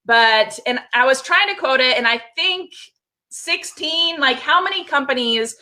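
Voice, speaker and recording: A bubbly woman hosting a live show over a video call. Her voice sits a little high and rings very bright. She keeps the energy up and talks straight to her viewers. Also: animated, unhurried. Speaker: host.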